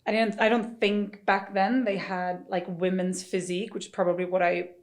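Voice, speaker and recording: A woman's voice: fairly low pitch, little pitch movement, moderate pace. A woman from Sweden chatting casually as a podcast guest and fitness coach. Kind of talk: casual chat.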